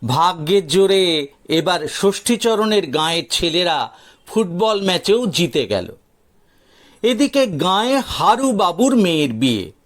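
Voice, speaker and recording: A man's voice: high-pitched, very animated, measured pace, a bit bright. A man narrating a folk tale as a storyteller, in a dramatized audio story style.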